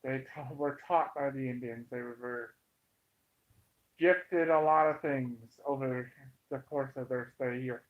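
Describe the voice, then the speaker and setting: An American man giving an informal, opinionated monologue to viewers, emphatic and halting, repeating himself to drive points home. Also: mid-range voice, steady tone. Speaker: online commentator. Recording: dull, muffled lo-fi sound.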